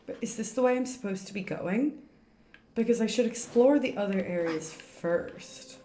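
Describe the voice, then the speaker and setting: A woman gives casual live commentary on a game, chatting and thinking aloud at a medium pitch. The room is echoey, and soft music plays behind her. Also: normal speed. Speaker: game streamer.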